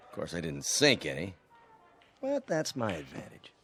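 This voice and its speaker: Animated, easygoing American traveler. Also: male voice, on the low side, moderate pace, full character voice. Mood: dismissive, needling. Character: easygoing, wary traveling man, amateur pool player. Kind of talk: radio drama acting.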